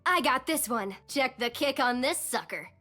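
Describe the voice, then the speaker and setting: A tiny, cheerful fantasy gunner for a video game. The voice is extremely high and bright, with lively swoops. Every line is a cocky, punchy battle quip, delivered with feisty confidence. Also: female voice, normal speed, faint music behind, exaggerated voice. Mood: gung-ho. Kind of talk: video game character voice lines.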